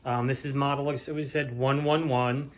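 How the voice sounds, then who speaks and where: A man's voice: mid-range voice, flat delivery, normal speed, slight background noise. A man hosting his own reseller vlog, showing items in a casual, unscripted, plain-spoken and matter-of-fact way. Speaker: flea market reseller hosting own show. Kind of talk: casual unscripted product show-and-tell.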